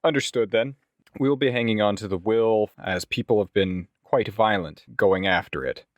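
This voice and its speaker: A man playing a businesslike investigator in a tabletop game, speaking in a formal, measured, wordy way. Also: lowish voice, dry recording, highs cut off, lightly acted. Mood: grave, formal. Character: businesslike male investigator posing as a law firm's representative.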